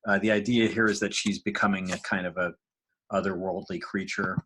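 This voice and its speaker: A man's voice: low voice, little pitch movement, fairly quick, background noise. A man giving a casual, informal walkthrough as a podcast creator and sound designer, explaining loosely as he goes.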